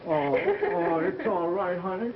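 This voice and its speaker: An actor playing a plain-spoken, gruff but soft-hearted doorman, blunt and colloquial, in a 1930s film style. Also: high-pitched, expressive, a little slow, heavy background noise. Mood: awkwardly sympathetic. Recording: dull 1930s film soundtrack with music.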